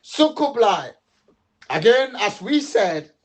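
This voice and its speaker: A man's voice: high voice, big pitch swings, slow, a little hiss or hum. A middle-aged man giving an impassioned political appeal, emphatic and repetitive, switching between English and Dutch. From Sierra Leone. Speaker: political commentator.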